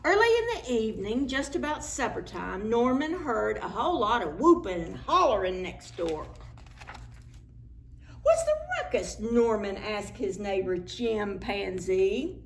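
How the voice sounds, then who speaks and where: Animated woman reading to preschoolers. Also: mid-range voice, measured pace, few pauses. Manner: animated, playful, chatty asides to children. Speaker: children's librarian. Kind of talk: preschool storytime read-aloud.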